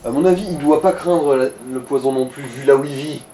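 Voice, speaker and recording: A man's voice: mid-range voice, fairly quick, warm tone. A man giving casual, wry, slangy commentary while gaming with a partner. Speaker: let's player and co-commentator. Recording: echoey room with faint noise.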